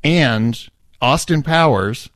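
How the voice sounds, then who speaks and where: A man co-hosting a podcast, talking in a loose, rambling way with plenty of restarts and little chuckles. His voice sits at an everyday middle pitch. The recording is dry and close, with no room sound. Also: lively intonation, slow, fairly bright tone, no room sound. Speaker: podcast co-host. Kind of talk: casual chat.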